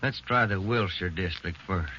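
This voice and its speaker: An actor playing a plain-spoken, folksy police detective in a 1940s radio drama. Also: male voice, deep voice, normal speed, natural voice. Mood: wary, easygoing. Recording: dull, noisy old radio recording.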